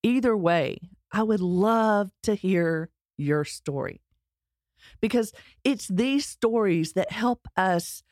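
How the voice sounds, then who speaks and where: A woman's voice: fairly low pitch, darkish tone, no room sound, studio quality. Earnest Texan woman telling her story. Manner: candid, earnest, self-deprecating humor. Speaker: speaker sharing her life story. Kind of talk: personal storytelling talk.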